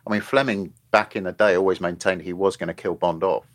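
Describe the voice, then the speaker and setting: Deep-voiced man, rambling podcast guest. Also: fairly even intonation, a little fast. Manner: rambling, many restarts and asides. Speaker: podcast guest, film journalist. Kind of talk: casual podcast discussion.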